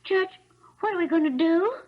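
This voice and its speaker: A woman's voice: very high voice, expressive, moderate pace. An actress playing an anxious, devoted young backwoods Southern girl with a plaintive rustic drawl, in 1970s radio drama style. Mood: worried. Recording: dull, muffled 1970s radio broadcast.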